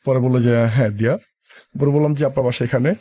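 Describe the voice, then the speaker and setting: A young man with a Bangladeshi accent telling a personal story casually as a guest, halting and colloquial, mixing in English words. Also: medium pitch, steady tone, mellow, breathy edge, no room sound. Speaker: interview guest.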